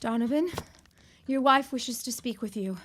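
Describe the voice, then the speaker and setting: An actress playing an indignant, wronged young 1910s wife in an audio drama, insistent, formal and confrontational. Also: female voice, a little high, normal speed, background noise, natural voice. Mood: stiff, cold. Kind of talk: period audio drama acting.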